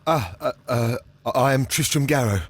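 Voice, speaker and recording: A man's voice: medium pitch, normal speed, close to an everyday voice. Man playing a nervous, stammering, haunted councillor. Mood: nervous, apologetic. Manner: heavy stammer, hesitant, apologetic. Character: nervous, haunted, guilt-ridden male councillor and archaeology enthusiast. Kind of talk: scripted video game acting.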